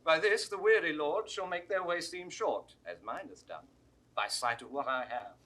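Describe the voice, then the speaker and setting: A proud, husky British lord in classical Shakespearean style. His delivery is forceful and declamatory, and his pitch swings widely as he presses each phrase. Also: animated, moderate pace. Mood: courtly, effusive. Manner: declamatory, emphatic. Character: blustering seasoned English nobleman, a proud father. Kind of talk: classical Shakespearean stage acting. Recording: 1960s studio audio drama recording.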